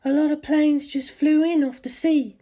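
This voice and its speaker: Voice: high voice, steady tone, moderate pace, warm tone, voice pushed up, natural voice. A narrator voicing a puzzled mother character in a storybook reading. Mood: bewildered. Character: puzzled mother running a trading company.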